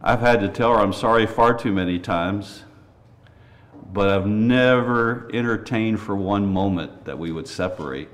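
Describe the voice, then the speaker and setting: Older male preacher, low voice, slight rasp, earnest folksy sermon delivery. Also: measured pace. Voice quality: raspy. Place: church.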